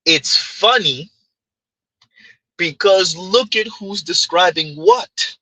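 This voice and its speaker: Husky high-voiced man with a Haitian accent. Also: slow, pushed and bright, no echo, poor audio. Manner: emphatic, rhetorical "huh?" asides. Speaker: commentary streamer. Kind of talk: reaction stream commentary.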